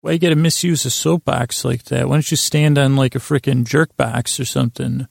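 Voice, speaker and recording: A man with a very creaky, gravelly voice at a middling pitch rambles as a bedtime podcast host. He stammers, repeats himself and leaves long pauses as he drifts into gentle, whimsical tangents. The sound is close and dry. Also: steady tone, dry recording. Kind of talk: rambling bedtime monologue to lull listeners to sleep.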